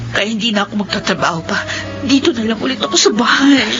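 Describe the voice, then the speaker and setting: A high female voice in a muffled radio drama recording, with music clearly behind it. An actress plays an earnest young Filipino wife, virtuous and melodramatic, feelings worn openly. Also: lively intonation, quick pace, natural voice. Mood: earnest, relieved. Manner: emotional, melodramatic. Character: distressed young wife, virtuous, tearful, earnest office employee.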